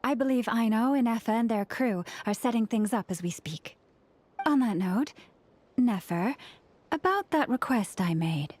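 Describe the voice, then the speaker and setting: A woman's voice: fairly high pitch, expressive, normal speed, darkish tone, a little hiss or hum, close to an everyday voice. A woman playing a gracious, joyful young priestess in an anime game, with a polite, formal manner. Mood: pleased. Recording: faint music behind the voice.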